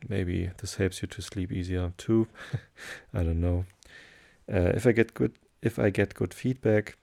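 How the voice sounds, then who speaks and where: A German-speaking man with a German accent, hosting a sleep podcast and reading aloud in a low, soothing, hesitant way. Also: vocal fry. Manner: hesitant, many fillers, slow calm delivery.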